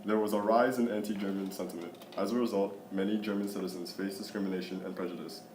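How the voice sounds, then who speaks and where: A young male student reading a history class project aloud in a flat, subdued way, recorded in a classroom. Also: on the low side, quick pace. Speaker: student presenter. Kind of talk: reading a school project narration. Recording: roomy classroom with faint background noise.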